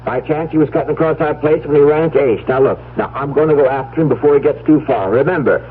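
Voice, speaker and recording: An actor playing an anxious, loving, stubborn farmer husband in a radio drama, with a halting, stammering urgency. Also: male voice, fairly high pitch, natural voice. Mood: urgent. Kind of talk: vintage-style radio drama acting. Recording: muffled, noisy old radio drama recording.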